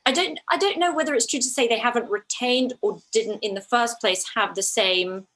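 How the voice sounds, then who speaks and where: A woman's voice: a little high, normal speed, fairly bright tone. A woman from Ukraine speaking as an interview guest, a documentary filmmaker who answers conversationally and thoughtfully, often restarting her phrases.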